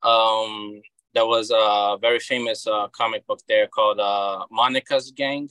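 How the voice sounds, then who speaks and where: A man's voice: lowish voice, fairly even intonation, a little slow, sharp tone, clean tone, dry recording. A man in his thirties from Brazil with a Brazilian Portuguese accent, a guest talking casually in an interview and often repeating words as he restarts. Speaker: guest, comic book creator.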